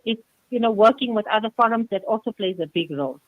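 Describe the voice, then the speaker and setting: A woman's voice: a little high, animated, quick pace, no room sound. A woman with a South African accent speaking conversationally as a radio interview guest over a telephone line. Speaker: interview guest, community charity organiser. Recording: tinny phone line on radio.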